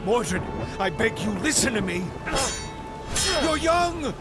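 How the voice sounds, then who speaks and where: An aging king in a radio play, heavy with remorse and pleading earnestly. His grave, weighty voice sits rather high, with dramatic music clearly behind it. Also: big pitch swings, takes it slowly, heavily acted. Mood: desperate pleading. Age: middle-aged. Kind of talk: dramatic audio drama acting.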